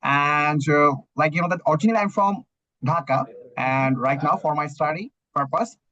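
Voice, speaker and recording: Young man, Bangladeshi accent, hesitant, filler-heavy, somewhat high voice, muffled lo-fi audio. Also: moderate pace, sharp tone. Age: young adult. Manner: hesitant, fills with "you know that" and "uh". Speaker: guest, student. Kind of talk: casual English practice chat.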